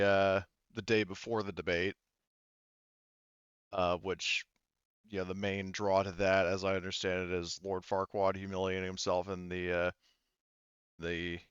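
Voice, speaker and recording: A man's voice: deep voice, a bit bright, clean tone, dry recording, dull audio. A man narrating a tabletop roleplaying game as game master, speaking casually in improvised, hesitant phrasing.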